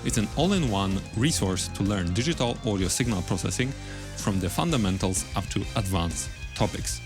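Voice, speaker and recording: A man hosting an interview podcast, speaking in a polite, measured way with formal phrasing. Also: lowish voice, animated, over music. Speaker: podcast host. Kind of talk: interview, then podcast sign-off.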